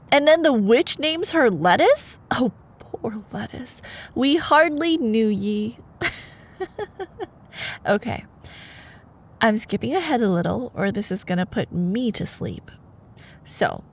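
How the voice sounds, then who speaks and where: A woman reading a bedtime fairytale in a playful, expressive, wryly sarcastic way, as in a girlfriend role-play. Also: fairly high pitch. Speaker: ASMR storyteller playing a girlfriend.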